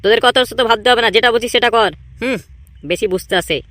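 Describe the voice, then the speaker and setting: A man's voice: very high-pitched, fast, pushed and bright, dry recording. A man voicing an irritable, scheming village gang boss in a comedy cartoon, scolding and repeating himself emphatically. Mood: bossy, scolding. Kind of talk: exaggerated comedy cartoon dialogue.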